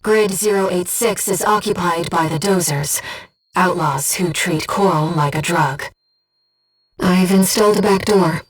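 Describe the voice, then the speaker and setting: A woman voicing a gentle, earnest, disembodied female companion in a video game, guiding a pilot with composed, precise callouts and briefings. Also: lowish voice, measured pace, breathy edge, recorded from a distance, poor audio. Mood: composed, informative. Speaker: mission support companion.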